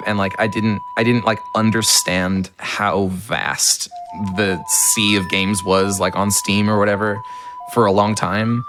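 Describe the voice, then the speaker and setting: Slightly raspy deep-voiced man chatting. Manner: chatty, many fillers and restarts. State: a little raspy from illness. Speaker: speedrunner. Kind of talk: casual gaming chat while playing. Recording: music playing behind.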